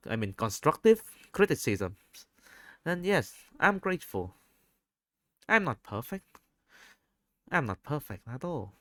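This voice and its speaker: A man with a Vietnamese accent recording a spontaneous, unscripted audio log, speaking haltingly and often rephrasing himself. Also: medium pitch, no echo, studio quality. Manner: halting, repeats and rephrases phrases.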